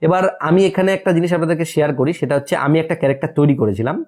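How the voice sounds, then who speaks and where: A man's voice: a little high, very fast, darkish tone. A man presenting a step-by-step screen tutorial in an instructional way, often asking a question and then answering it himself.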